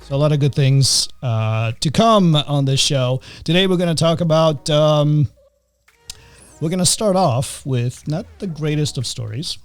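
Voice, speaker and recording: A middle-aged man from Greece hosting a podcast in casual chat, often pausing with uh and um. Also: fairly high pitch, animated, clear, resonant tone, dry recording, faint music behind. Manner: hesitant, many uhs and ums. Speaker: podcast host.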